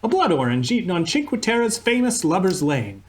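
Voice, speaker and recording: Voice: medium pitch, sing-song, moderate pace, a little hiss or hum, natural voice. A performer playing a chatty old-time radio host who drifts into travel stories, over a video call. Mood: rambling.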